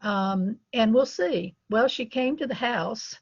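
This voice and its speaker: A woman's voice: a little high, expressive, slow, slightly rough, dry recording, highs cut off. A middle-aged woman, a dog breeder, telling anecdotes casually in an interview. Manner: chatty, anecdotal, laughs between stories.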